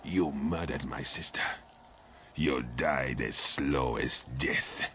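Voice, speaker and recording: An actor playing a vengeful, grieving warrior in a video game, making slow, dramatic threats in a forceful voice. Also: male voice, rumbling low, husky, exaggerated voice. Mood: vengeful fury. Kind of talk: video game cutscene acting.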